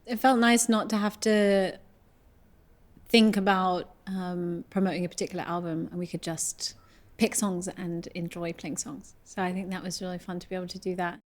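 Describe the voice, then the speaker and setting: A woman's voice: on the low side, flat delivery, moderate pace. A woman, a folk singer and banjo player, chatting casually in an interview.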